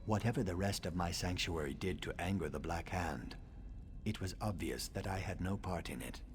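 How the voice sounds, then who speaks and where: A low-voiced, scholarly reptilian assassin from a fantasy video game, acted by a man. He speaks formally and with measured care, brooding and wryly sardonic. Some ambient noise sits behind the voice. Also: natural voice. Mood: pensive. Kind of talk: video game dialogue acting.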